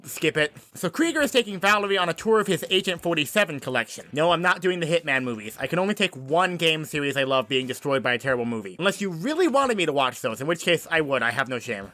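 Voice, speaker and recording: A young man narrating a comedic movie review in a sarcastic, mocking tone with quick asides. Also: high voice, pushed and bright, dry recording.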